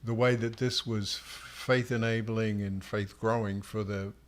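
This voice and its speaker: A man's voice: on the low side, steady tone, measured pace. A man co-hosting a livestream as an author, chatting casually in a hesitant, easygoing conversational way.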